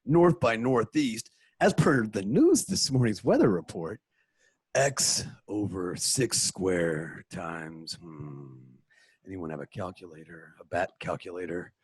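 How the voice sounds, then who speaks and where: A man playing Batman in a home-recorded radio play, with deliberate, mock-serious deductions. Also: sits low, animated, darkish tone, slightly rough, no room sound, full character voice. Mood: deadpan. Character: Batman, grave adult male crime-fighter, smug deductive. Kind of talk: amateur radio play reading. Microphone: home mic, dry room.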